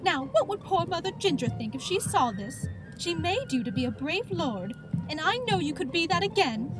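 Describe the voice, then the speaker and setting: A woman's voice: very high voice, big pitch swings, normal speed, music in the background, rough recording. A woman playing a sweet, dramatic, doting quilt doll in an audio drama, gushing and fussing theatrically. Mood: adoring, encouraging. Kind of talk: amateur audio drama acting.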